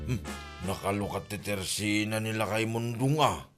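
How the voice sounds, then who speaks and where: A man's voice: fairly low pitch, moderate pace, over loud music, natural voice. An actor playing a flirty, evasive married man in an Ilocano comedy drama, with an exclamatory, stammering manner and an Ilocano accent. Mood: grumbling, evasive.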